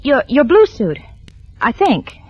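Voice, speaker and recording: A woman's voice: high-pitched, huge pitch swings, slow pace, husky, no echo, exaggerated voice. An actress playing an anxious, evasive wife and mother with a hesitant, nervous manner, in 1940s radio drama style. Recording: muffled old radio recording, music behind.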